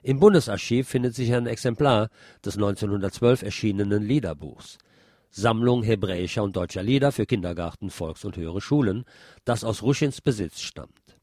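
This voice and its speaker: A man's voice: on the low side, normal speed, darkish tone, no echo, studio quality. A man presenting a programme, reading written articles and reviews aloud. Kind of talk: read-aloud newspaper article.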